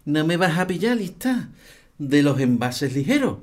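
Jokey grumbly husband in an audio drama, drawn out and really singsongy. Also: male voice, fairly high pitch, very animated, unhurried. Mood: mock-grudging. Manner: mock-grumbling, playful. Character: teasing, playfully grudging husband at family dinner. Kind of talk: naturalistic audio drama dialogue.

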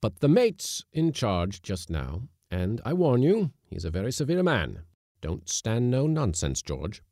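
A man's voice: medium pitch, very animated, normal speed, darkish tone, no echo, studio quality, voice pushed up, lightly acted. A man voicing a stiff, self-important ship's captain in a storybook, commanding and trying to sound dignified. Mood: stiff dignity. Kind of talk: storybook character voice.

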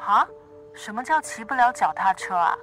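An actress playing a chatty, bossy but worried best friend in a radio drama, animated and nagging, with a Singaporean accent. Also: female voice, fairly high pitch, moderate pace, soft background music. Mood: concerned. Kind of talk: Mandarin radio drama acting.

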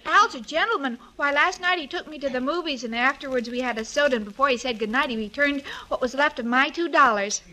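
A woman's voice: sits high, quick pace, hardly any pauses, noisy background, lightly acted. An actress playing a sweet, dim-witted young woman in a 1940s radio comedy, naive, earnest and literal-minded, on an old recording. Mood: earnest, defensive. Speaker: comic lead of radio sitcom.

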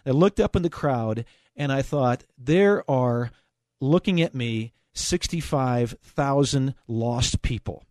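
A man's voice: mid-range voice, lively intonation, slow, darkish tone, no room sound. A man with an American accent hosting a conversational sports call-in show on the radio.

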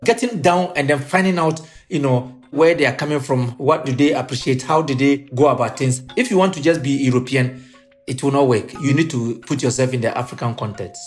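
A man's voice: mid-range voice, brisk, faint music behind. A middle-aged man with a Ghanaian accent, a football coach giving emphatic interview answers.

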